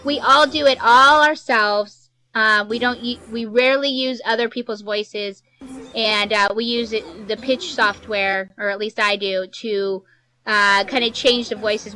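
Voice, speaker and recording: A woman's voice: mid-range voice, steady tone, unhurried, sharp tone, low-quality recording. A woman giving an informal instructional talk as a podcaster, explaining things in a chatty, colloquial way. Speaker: fiction podcaster giving a workshop.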